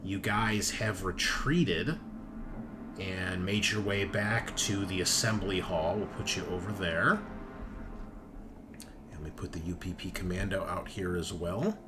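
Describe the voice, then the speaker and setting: A man's voice: sits low, unhurried, over music. A man narrating a tabletop roleplaying game as game master, with casual, off-the-cuff storytelling.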